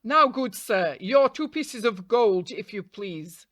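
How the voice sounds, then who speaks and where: A woman's voice: mid-range voice, expressive, takes it slowly, fairly bright tone, dry recording, studio quality. A woman voicing a put-upon but sly donkey in a storybook, with a reasoning, wheedling manner.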